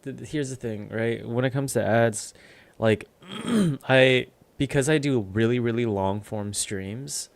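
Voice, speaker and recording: A man's voice: medium pitch, unhurried, vocal fry, few pauses, no echo. A man who makes music, a guest on a stream, chatting casually in a laid-back, slangy way. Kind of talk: casual chat.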